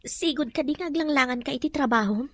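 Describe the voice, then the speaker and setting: A woman's voice: sits high, fast talker, a bit bright. A woman playing a modest, devoted young mother in an Ilocano drama, speaking in a hesitant, wary way. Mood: concerned. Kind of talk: Ilocano radio-style drama acting.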